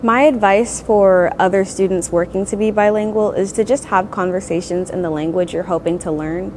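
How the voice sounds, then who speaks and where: A woman's voice: mid-range voice, fairly even intonation, normal speed, background noise. A young woman student giving earnest advice in an interview. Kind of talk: interview answers.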